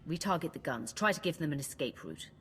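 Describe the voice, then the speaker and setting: A woman's voice: low voice, lively intonation, fast talker, fairly bright tone, soft background music. A woman playing a stern female warrior commander who speaks firmly and directly.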